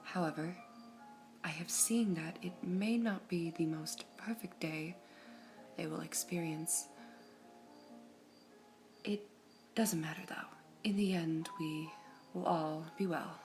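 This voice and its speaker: A woman voicing a serene all-seeing female gem in an ASMR roleplay, whispering softly in a measured, formal way. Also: on the low side, soft background music. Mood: calm whispering.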